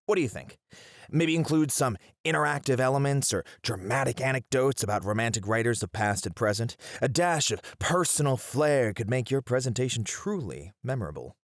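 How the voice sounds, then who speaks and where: A man's voice: medium pitch, lively intonation, a little fast, no echo, clean studio sound, close to an everyday voice. A man acting in an ASMR roleplay as a playful educator with theatrical flair, bantering and flirty in his delivery. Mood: enthusiastic, encouraging.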